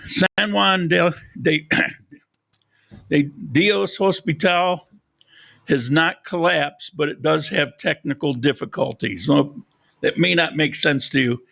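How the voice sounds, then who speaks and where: A man's voice: on the high side, slow, gravelly, sharp tone. A man hosting a live podcast, rambling casually with frequent restarts and losing his voice. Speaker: podcast host. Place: lives in Colombia.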